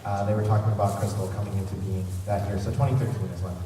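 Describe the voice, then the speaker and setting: A man's voice: fairly low pitch, little pitch movement, very fast. Male panel host, chatty and keen, recorded from far off in a reverberant hall. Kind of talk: casual fan convention presentation. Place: large convention centre panel room. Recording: echoey noisy convention hall, distant mic.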